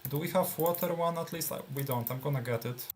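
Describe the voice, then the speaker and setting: A man's voice: on the high side, fast talker, slight background noise. Man with a Polish accent, casual. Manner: thinking aloud, many fillers and trailing phrases. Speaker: gameplay streamer. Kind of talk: casual live gameplay commentary.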